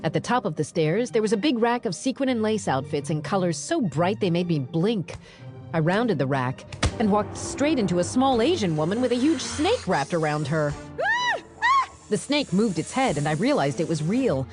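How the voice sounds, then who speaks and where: A woman reads first-person audiobook narration in a fairly low voice. Her delivery is wry and very animated, moving freely in pitch, with some music behind her. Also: normal speed.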